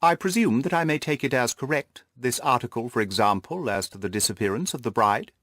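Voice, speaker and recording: A man voicing a suave, composed detective who questions a client briskly and inquisitively in an audiobook. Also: medium pitch, expressive, a bit bright, no echo, clean studio sound. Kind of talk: audiobook character dialogue.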